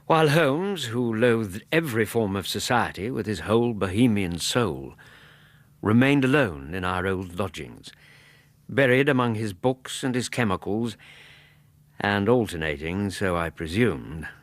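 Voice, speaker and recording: A very deep, husky English gentleman narrates a radio drama as a man recalling a dear friend. He is measured and reflective, with expressive rises and falls, in a dry close studio sound. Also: no echo. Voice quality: husky, low. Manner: measured, expressive, literary phrasing.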